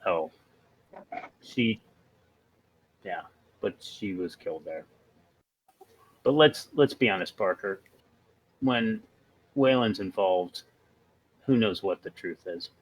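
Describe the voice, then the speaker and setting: A man playing a tabletop role-playing game, talking casually and haltingly as he works things out. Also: deep voice, sing-song, low-quality recording. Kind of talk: casual in-character role-play chat.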